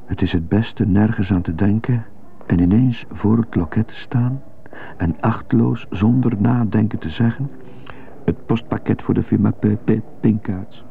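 A muffled, hissy radio drama recording of a troubled man with a stutter. His voice is low and gravelly, and he broods haltingly, as if coaching himself through every hard consonant. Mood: tense, halting. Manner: halting, brooding inner monologue.

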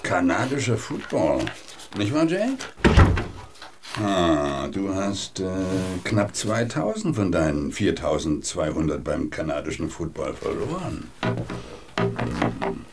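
A man's voice: low voice, very animated, takes it slowly, music in the background, full character voice. An actor playing a rich, sardonic male moneylender who teases a man in debt in a radio drama. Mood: mocking. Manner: drawling, mocking, teasing.

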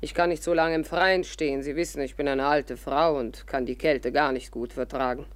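A woman's voice: low voice, steady tone, normal speed, no room sound, lightly acted. An actress voicing a haughty, bossy old theatrical lady who scolds and declaims in a 1950s radio drama. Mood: imperious, scolding. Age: older.

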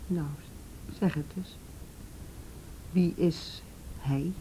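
A woman playing a calm, middle-aged counsellor in a radio drama, patient, direct and matter-of-fact. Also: lowish voice, drawn-out words, slight background noise, low-quality recording. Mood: patient, firm. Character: calm, firm female counsellor questioning a girl. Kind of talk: naturalistic radio drama acting.

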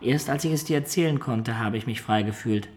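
A man's voice: mid-range voice, brisk, a little mellow, slight background noise, natural voice. An actor playing a wistful adventurer in a radio drama, recounting his life in a reflective, confiding way. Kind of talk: radio drama acting and storytelling.

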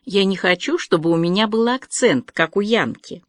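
A woman's voice: mid-range voice, lively intonation, normal speed, no room sound, clean studio sound, lightly acted. A woman reading aloud voices a chatty, freckled teenage girl, eager and anxious, in breathless, earnest chatter.

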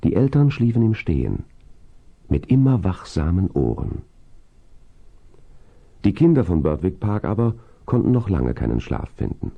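A man narrating a 1970s children's audio drama in a measured, descriptive storytelling manner. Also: low voice, big pitch swings, creaky, narrow, tinny audio, full character voice.

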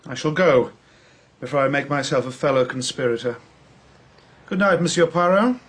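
An actor playing a stiff, law-abiding professional gentleman in a radio drama, formal and brisk in manner. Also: mid-range voice, slightly rough, lightly acted. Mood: stiff, dignified.

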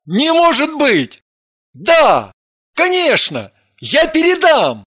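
Man performing an easygoing teasing fellow, high male voice, very animated, excitable, audiobook drama, close dry sound. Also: normal speed, dry recording. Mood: agitated. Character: easygoing, amused, sometimes puzzled man, Evgeny. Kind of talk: audiobook character acting.

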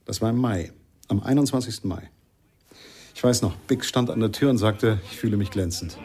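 A man's voice: fairly low pitch, darkish tone, breathy edge. A man playing a jazz musician who wistfully recalls an old friend in a radio play, conversational and reflective.

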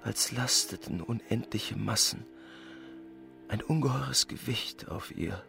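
An actor in a German radio play playing a distraught, stubborn widowed husband, with a husky, creaky voice. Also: male voice, on the low side, slow pace, bright, forceful tone, soft background music, heavily acted. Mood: stunned sorrow.

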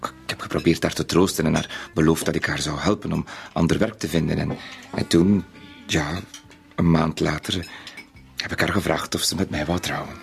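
An actor playing a timid, naive bank bookkeeper in a radio play, speaking politely and hesitantly with a Flemish accent. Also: male voice, a bass voice, fairly even intonation, normal speed, vocal fry, soft background music. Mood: earnest, confiding. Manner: hesitant, polite, self-effacing. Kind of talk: radio drama acting.

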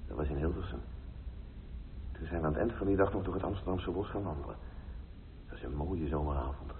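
An actor playing an easygoing, slightly bashful boyfriend, speaking matter-of-factly in a Dutch radio play. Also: male voice, sits low, a little fast. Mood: bashful, musing. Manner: casual, matter-of-fact. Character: easygoing, slightly embarrassed boyfriend out dining, down-to-earth. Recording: tinny, noisy old radio recording.

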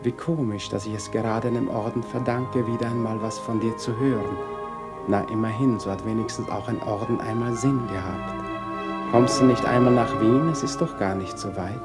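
A man's voice: on the low side, natural voice. An actor playing an affectionate, charming old flame, tenderly reading out his letter in a radio play. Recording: prominent music under the voice.

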